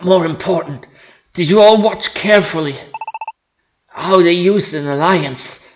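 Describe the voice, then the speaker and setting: A man's voice: high-pitched, a little slow, background noise. A man voicing a pompous, boastful creature vice principal who lectures his class in an amateur game dub. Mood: lecturing. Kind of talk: amateur video game voice-over.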